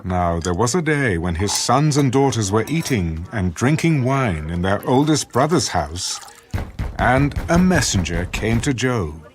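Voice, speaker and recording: Male narrator for dramatised scripture. Solemn storyteller, unhurried, varied mid-range pitch. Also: big pitch swings, slight background noise.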